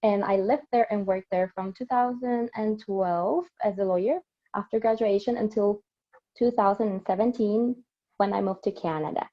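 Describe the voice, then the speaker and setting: A woman's voice: medium pitch, steady tone, normal speed. A woman in her thirties from Peru with a Peruvian Spanish accent, introducing herself as a webinar panelist. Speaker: panelist, lawyer. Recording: dull lo-fi webinar audio.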